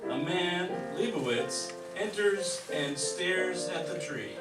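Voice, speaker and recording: A man's voice: high voice, expressive, slow pace. A man reading scripted scene-setting narration for a radio play. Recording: echoey room, distant mic, loud music.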